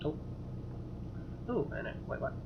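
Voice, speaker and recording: A man reading visual novel narration aloud as a let's player, expressive, with casual asides and reactions. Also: a little slow, very noisy. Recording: dull lo-fi mic, music clearly behind. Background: game music.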